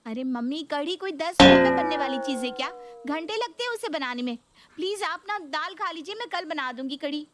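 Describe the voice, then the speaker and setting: Girl acting stressed young working daughter-in-law in a cartoon, high voice, really animated, exasperated. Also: brisk, music in the background. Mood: irritated. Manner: pleading, exasperated. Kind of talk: Hindi cartoon story voice acting.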